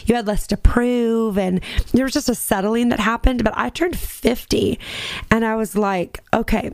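Woman, fifties, gravelly fry, emphatic and lively, frequent laughs, dry close sound. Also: fairly high pitch, moderate pace, dry recording. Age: 50s. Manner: animated, laughs often, emphatic. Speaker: podcast guest, worship singer. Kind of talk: casual faith conversation.

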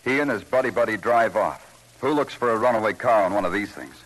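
A man's voice: fairly low pitch, fast, fairly bright tone, low-quality recording. An actor playing a terse, sardonic big-city detective who narrates his case in a vintage radio crime drama. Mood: terse, intent. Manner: clipped, dry, sarcastic. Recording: old radio recording with music.

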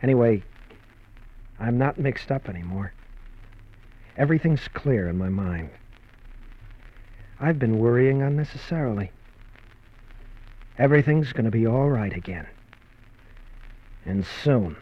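An actor playing an anxious, scheming ex-convict husband in an old radio crime drama, earnest and persuasive. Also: male voice, medium pitch, lively intonation, unhurried, long pauses. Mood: troubled, soothing. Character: anxious ex-convict husband living under an assumed name, scheming. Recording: muffled old radio recording.

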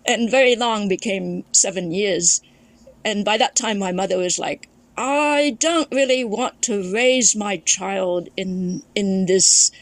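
A woman from Thailand, a podcast guest and memoirist, recalling her family history in a reflective storytelling way, often restarting her sentences. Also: mid-range voice. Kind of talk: casual conversation.

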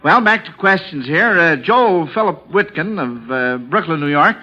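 A man's voice: fairly high pitch, expressive, moderate pace, pushed and bright. An American man hosting a 1940s radio quiz show, speaking to children in a folksy way full of old-time exclamations, on a lo-fi vintage broadcast.

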